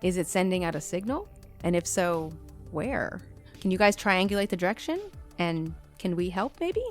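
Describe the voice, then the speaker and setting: A woman's voice: on the low side, lively intonation, normal speed, lightly acted. A woman playing a wry, confident space company executive in her fifties, chatting in an audio drama log entry, with music underneath. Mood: content, curious. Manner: chatty, wry, dryly teasing.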